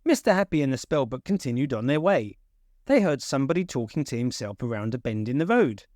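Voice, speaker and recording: Animated male children's storyteller. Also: mid-range voice, brisk, dry recording, studio quality. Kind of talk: expressive children's story read-aloud.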